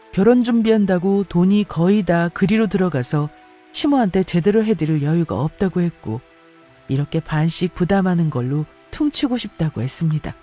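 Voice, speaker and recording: A woman's voice: on the low side, dark tone. A woman reading a scripted first-person story as a narrator.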